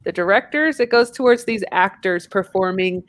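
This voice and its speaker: A woman's voice: medium pitch, moderate pace. A woman with a mid-range voice talks as a podcast co-host. She keeps it informal and full of banter, chatting about video games with a group.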